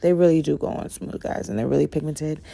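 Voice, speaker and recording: A young woman talks through her nail work in a loose, rambling way, sprinkling in "like" and "guys." Her voice sits low and mellow. It carries a slight rasp from a cold. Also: quick pace. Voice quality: raspy. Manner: rambling, says "like" and "guys" a lot. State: raspy from a cold. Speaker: nail vlogger. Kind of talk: casual chatty tutorial voice-over.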